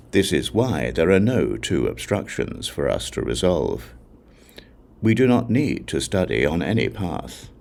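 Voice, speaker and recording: A man's voice: deep voice, vocal fry, a little mellow. A man giving a solemn, declarative scripted reading of sacred texts as an audiobook narrator.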